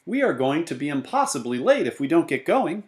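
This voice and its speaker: A man's voice: a little high, sing-song, brisk, voice pushed up. A man voicing a cheerful, chirpy married woman in a children's storybook.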